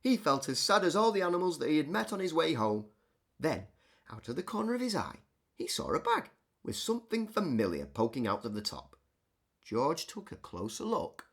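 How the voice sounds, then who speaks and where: Highly animated woman reading a children's story. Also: moderate pace, breathy edge. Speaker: storybook reader. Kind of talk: expressive scripted narration for children.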